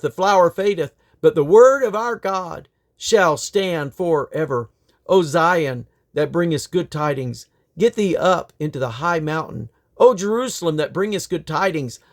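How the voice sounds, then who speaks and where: A man with a fairly high-set voice reads scripture aloud. His delivery is solemn and declamatory, and he leans on key phrases with emphatic rises and falls. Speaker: Bible reader. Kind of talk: scripture reading aloud from the King James Bible.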